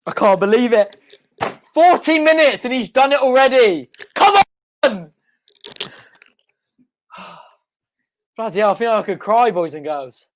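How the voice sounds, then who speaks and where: A young man hosting a live football watch-along from home, excitable and shouting, his voice going hoarse. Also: extremely high pitch, extremely slow, pauses often, slight background noise. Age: young adult. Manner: excitable, shouting and chanting at goals. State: losing his voice. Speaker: fan channel host. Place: home front room.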